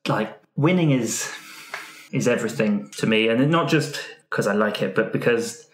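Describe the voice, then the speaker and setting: Earnest, halting man in a roomy space. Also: lowish voice, expressive. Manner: halting, earnest, repeats and restarts phrases. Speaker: tabletop roleplay player, performer. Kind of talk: improvised in-character speech in a roleplaying game. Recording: roomy, echoing room sound.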